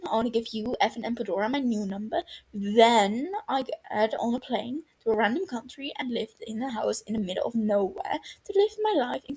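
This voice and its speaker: A woman's voice: a little high, darkish tone, husky, voice pushed up, rough recording. A woman voicing a cynical, sardonic, exasperated British man in his twenties in an audio story. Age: 20s. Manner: sardonic, sighing, withering. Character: dry, exasperated, cynical young British man, reserved realist. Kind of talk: fan fiction audio story dialogue.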